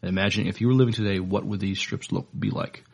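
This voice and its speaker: Low, creaky-voiced hesitant man. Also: fairly even intonation, a little mellow. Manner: hesitant, halting delivery. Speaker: podcast co-host. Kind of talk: casual news chat, partly reading notes.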